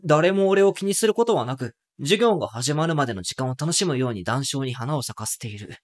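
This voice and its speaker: A man playing a wry, self-mocking high school boy who narrates an anime audio drama with dry asides. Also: lively intonation, a little fast, no room sound, lightly acted. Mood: uneasy.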